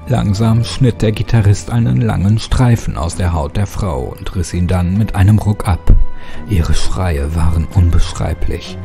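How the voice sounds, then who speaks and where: A man's voice: deep voice, unhurried, gravelly, warm tone. A man giving a scripted, dramatic first-person narration of a horror story. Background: music.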